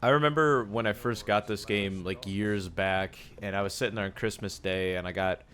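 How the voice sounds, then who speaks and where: Young guy with a raspy sore throat hyping up his modded game, mumbly then yelping. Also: moderate pace. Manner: excitable, exclaims often, jumps from low mumbles to loud yelps. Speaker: gaming YouTuber. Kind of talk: casual gameplay commentary.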